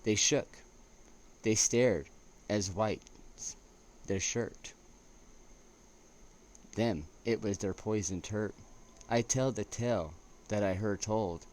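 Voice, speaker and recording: A man reading poetry aloud as an amateur, in a halting way with stumbles and restarts. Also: on the low side, a little mellow. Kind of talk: poetry recitation with casual asides. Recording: noticeable background noise.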